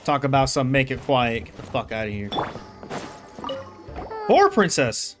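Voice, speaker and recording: A man's voice: medium pitch, sing-song, unhurried. A man streaming a video game, reacting with profane, joking commentary. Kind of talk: casual live gameplay commentary. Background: game music.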